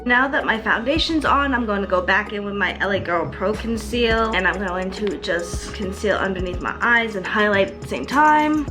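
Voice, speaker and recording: A woman's voice: mid-range voice, normal speed, few pauses. A woman chatting casually and gushingly as a beauty vlogger, giving an informal makeup tutorial to camera. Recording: music playing behind the voice. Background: background music.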